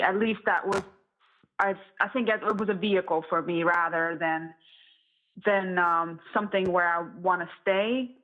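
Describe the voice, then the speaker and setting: A woman in her thirties from Estonia, talking casually and conversationally as an interview guest who produces music. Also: medium pitch. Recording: thin, phone-like band-limited audio.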